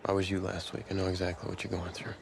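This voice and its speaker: A man's voice: low voice, completely flat delivery, fast, vocal fry, a crowd in the background. A man playing an irritable, competitive young college quarterback in a naturalistic video game cutscene. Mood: subdued. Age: young adult.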